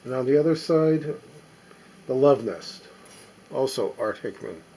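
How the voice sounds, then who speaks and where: A man casually talking to himself as he sorts a stack of old records, reading the labels aloud in a halting, musing way. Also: mid-range voice, lively intonation, dark tone, a little hiss or hum, poor audio. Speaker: record collector. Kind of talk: casual running commentary while sorting records.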